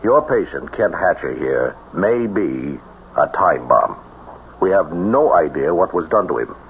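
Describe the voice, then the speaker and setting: An actor playing a stern, guarded army colonel in a radio drama, speaking formally and gravely. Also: male voice, deep voice, sing-song, unhurried, heavily acted. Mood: grave warning. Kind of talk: old-time radio drama acting. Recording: tinny, noisy old radio broadcast.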